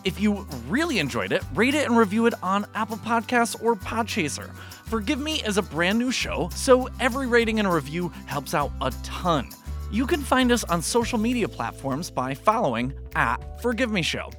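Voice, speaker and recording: A man's voice: high-pitched, sing-song, normal speed, fairly bright tone. A man giving an informal podcast outro, speaking directly to listeners as the show's sound designer. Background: faint music.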